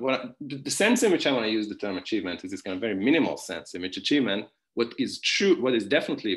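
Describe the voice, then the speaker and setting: A man's voice: lowish voice, a bit bright. A man whose first language is Hungarian, giving an online philosophy conference talk with many restarts, fillers and wry asides. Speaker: philosophy lecturer.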